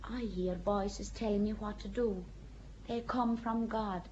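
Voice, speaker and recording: An actress playing an earnest young peasant girl who speaks plainly and with calm, quiet defiance in a radio drama. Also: mid-range voice, measured pace, muffled recording, slight background noise, lightly acted. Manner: plain-spoken, calmly certain, quietly defiant.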